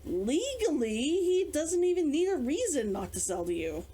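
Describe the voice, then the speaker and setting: A woman reading aloud a storybook man who is measured, matter-of-fact and teasing. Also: high voice, big pitch swings, mellow, voice pushed up.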